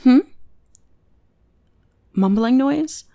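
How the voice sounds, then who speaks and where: A woman's voice: high voice, animated, extremely slow, highs cut off, full character voice. A young woman playing a teasing, possessive goth bully who is secretly smitten, in an ASMR roleplay. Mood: sweetly polite. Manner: playful, taunting, drops into breathy whispers.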